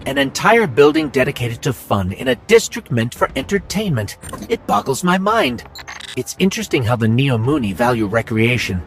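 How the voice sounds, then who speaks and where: A man's voice: medium pitch, sing-song, moderate pace, faint music behind. An actor playing an earnest, curious male robot companion in a video game, thoughtful and polite. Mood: musing wonder.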